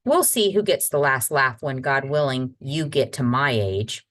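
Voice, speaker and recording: A woman's voice: a bass voice, expressive, unhurried, a bit bright, full character voice. A woman voicing a playful, wistful grandfather in his sixties from a children's story, reading aloud. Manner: wistful, exclaiming, fond. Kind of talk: children's audiobook character voice.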